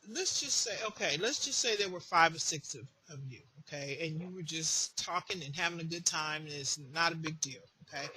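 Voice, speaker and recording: A woman's voice: lowish voice, bright, forceful tone, husky. A woman giving informal spoken advice as a host, with an emphatic manner that repeats words for stress. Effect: voice distortion late in the recording. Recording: faint background noise.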